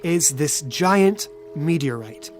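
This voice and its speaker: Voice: medium pitch, animated, takes it slowly, faint music behind. A game master narrating a tabletop role-playing game with descriptive, folksy phrasing.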